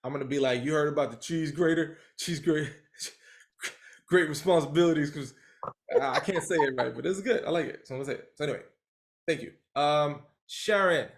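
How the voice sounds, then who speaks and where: A man leading a training call as coach, talking in an animated, rambling way with lots of fillers over a video call. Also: fairly high pitch, fairly quick.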